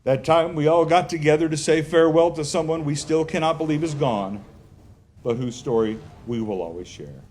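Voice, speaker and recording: A man giving prepared remarks at a memorial, measured, with a dry wit. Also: fairly high pitch, darkish tone. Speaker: emcee introducing speakers. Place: music club with an audience.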